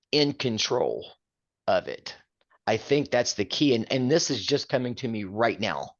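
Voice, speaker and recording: Older male co-host, big carrying voice, emphatic delivery, slightly dull lo-fi sound. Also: fairly low pitch. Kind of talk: casual chat.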